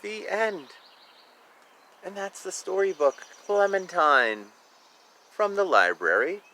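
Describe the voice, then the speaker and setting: A man reads a picture book aloud for children in a bright, fairly high voice. His delivery is expressive and informal, drawing out key words. He drops in casual comments on the pictures as he goes. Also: slow, a little hiss or hum. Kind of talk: animated storybook read-aloud with casual asides.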